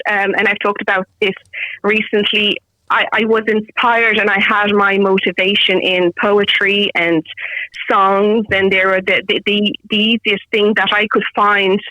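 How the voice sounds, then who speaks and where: Lady guest, earnest, keeps restarting, bright voice, kinda dull sound. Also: medium pitch, no room sound. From Romania. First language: Romanian. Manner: earnest, restarts often, speaks in long strings of clauses. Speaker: guest solicitor. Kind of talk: conversational interview.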